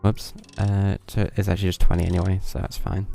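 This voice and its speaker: A woman's voice: extremely low pitch, little pitch movement, fairly quick, vocal fry. A woman streamer giving casual, offhand commentary while playing, muttering and correcting herself. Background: game music.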